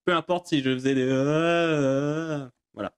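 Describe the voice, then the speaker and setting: A man presenting an informal, off-the-cuff software tutorial, hesitant and self-deprecating as he explains things. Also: a little high, steady tone, a little slow, clear, resonant tone. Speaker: tutorial presenter answering viewer questions.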